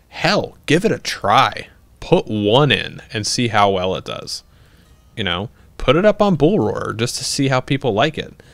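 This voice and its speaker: A man's voice: lowish voice, fairly bright tone, no echo. A young man hosting a livestream, chatting casually as he answers viewer questions, rambling with lots of fillers and restarts and laughing easily. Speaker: livestream host.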